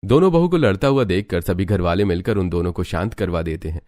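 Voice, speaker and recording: A man narrating a scripted animated family story. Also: low-pitched, brisk.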